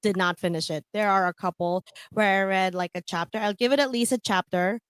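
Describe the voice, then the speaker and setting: A woman's voice: mid-range voice, a little fast, clear, resonant tone, no room sound. A woman whose first language is German, chatting candidly on a radio panel and laughing often. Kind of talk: casual radio chat.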